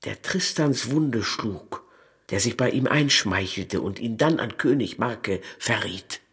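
A man's voice: fairly low pitch, animated, slow, a bit bright, husky. A man reading literary prose aloud as a scripted narrator.

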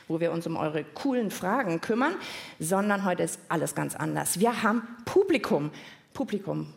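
A woman hosting a children's science show live, chatting casually and putting playful questions to the audience. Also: a little high. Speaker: host of a children's science podcast. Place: live on stage at a podcast festival.

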